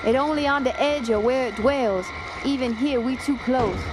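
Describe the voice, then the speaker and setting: An actor playing a fearful, superstitious swamp guide in a radio horror drama, giving dramatic warnings in broken English. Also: male voice, extremely high pitch, moderate pace. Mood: hushed dread. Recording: noisy swamp ambience behind.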